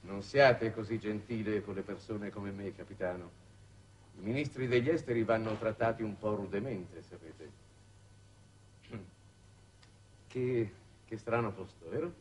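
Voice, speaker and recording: A man's voice: fairly low pitch, moderate pace, darkish tone, close to an everyday voice. An actor in his forties playing a worldly, wistful foreign minister in a 1960s television drama, urbane and wry in conversation. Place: large reflective television studio set. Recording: roomy, noisy old television soundtrack.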